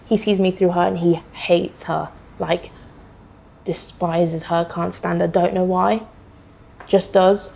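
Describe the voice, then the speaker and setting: A woman guest in a casual podcast discussion, speaking earnestly, haltingly, often trailing off softly. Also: on the low side, level tone, vocal fry, slight background noise, poor audio.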